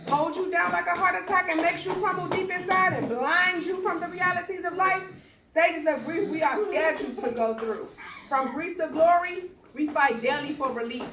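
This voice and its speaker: Woman doing spoken word poetry, really high voice, declaims it with big pauses, roomy echo. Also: fairly bright tone. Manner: emphatic, declamatory, with deliberate pauses and a repeated refrain. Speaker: poet reciting own poem. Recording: roomy echo, distant mic, faint noise.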